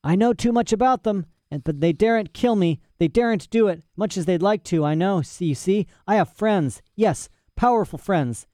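Shrill, cranky old mad professor. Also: male voice, expressive, normal speed, hardly any pauses, dark tone, dry recording, clean studio sound. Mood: boastful, paranoid. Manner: agitated, querulous, pompous, punctuating talk with "eh". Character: mad, cranky, boastful old professor chained in a prison cell.

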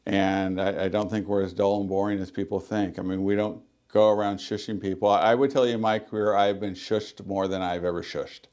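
A man working as a librarian, talking loudly and chattily as a radio interview guest, with frequent ums and restarts. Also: on the low side, flat delivery, a little fast, few pauses. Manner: loud, chatty, many fillers.

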